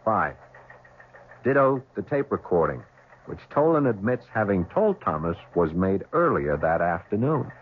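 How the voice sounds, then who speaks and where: A methodical male police lieutenant speaks formally in a fairly low voice. It is a 1970s radio drama, tinny and narrow, with some hiss. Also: very animated, measured pace. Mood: official, methodical. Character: brisk, businesslike male police homicide lieutenant.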